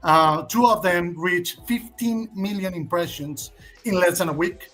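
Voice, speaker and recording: A man's voice: high voice, a little slow, a bit bright, soft background music. Mexican man with a Spanish accent. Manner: opinionated, hesitant with frequent fillers. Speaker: guest. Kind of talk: casual stream Q&A.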